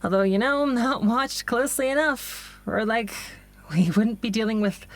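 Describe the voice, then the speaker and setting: A woman's voice: a little high, moderate pace, a little hiss or hum, natural voice. A woman playing an anxious, persistent doctor who works in communications, hesitant and earnest in a naturalistic audio drama. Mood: weary, recounting.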